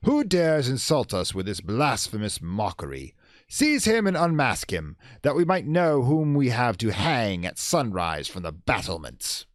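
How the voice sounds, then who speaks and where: A bold, imperious prince from a gothic horror tale, acted out by a male reader. He is hoarse and furious, declaiming his orders theatrically with big swings in pitch, very close and dry. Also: very animated, measured pace, dry recording. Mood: enraged, imperious. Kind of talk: gothic horror storybook reading.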